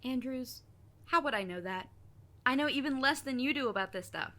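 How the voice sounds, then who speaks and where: A woman's voice: high-pitched, animated, moderate pace, pushed and bright. A woman playing a sardonic, reluctant teenage best friend in an audio drama, dry and exasperated.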